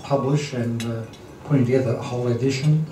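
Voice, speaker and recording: A man hosting a lunch event, giving a halting formal introduction full of ums and throat clearing. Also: mid-range voice, steady tone, dark tone, slight background noise. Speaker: event host introducing a speaker. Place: dining hall at a lunch event. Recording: roomy hall, distant mic, clattering dishes.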